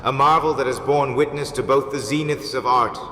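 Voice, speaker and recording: A man's voice: medium pitch, fairly even intonation, brisk, a bit bright, lightly acted. A man playing a courtly, mysterious host of a haunted mansion, telling a gothic audio drama in formal, ornate, dramatic phrases. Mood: mysterious, inviting. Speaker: host and storyteller. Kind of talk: theatrical gothic storytelling, scripted. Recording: echoey hall reverb with background noise.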